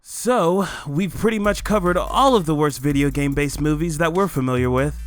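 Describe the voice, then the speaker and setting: A young American man co-hosting a scripted countdown with excitable, hammy exasperation. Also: a little high, moderate pace. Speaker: co-host of a countdown show. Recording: music under the voice.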